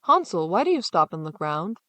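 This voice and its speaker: A woman voicing a weary, soft-hearted father in a fairy tale, plain, caring and mildly stern. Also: on the low side, very animated, brisk, no echo. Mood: fatherly.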